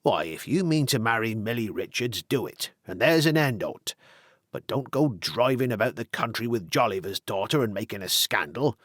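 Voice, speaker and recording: A man's voice: lowish voice, animated, normal speed, a bit bright, dry recording, clean studio sound. A man voicing a stern old farmer father who speaks in a rustic dialect.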